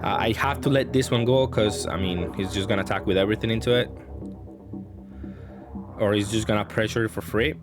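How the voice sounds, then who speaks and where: A man's voice: on the low side, fairly quick, long pauses. A man giving casual gameplay commentary, thinking aloud in a hesitant way as he works through his options. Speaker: card game player and channel host. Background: background music.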